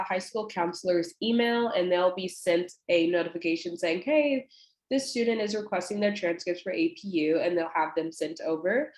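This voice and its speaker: A woman's voice: mid-range voice, darkish tone, echoey room. A young woman, an admissions representative with a stuffy, congested nose, casually walks viewers through an online application with lots of fillers. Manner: casual and friendly, many fillers. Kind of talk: walking through an online application on a screen share. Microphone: computer mic on a video call.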